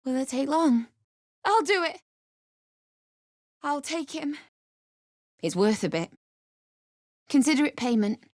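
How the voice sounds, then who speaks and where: A woman's voice: sits high, lively intonation, slow pace, pauses often, a little mellow, no room sound, clean studio sound. An actress playing a desperate, bitter young widowed mother-to-be in a video game, with a British accent and an emotional, pleading delivery. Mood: subdued, resolute. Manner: emotional, tearful, pleading, prone to snapping. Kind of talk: video game character acting.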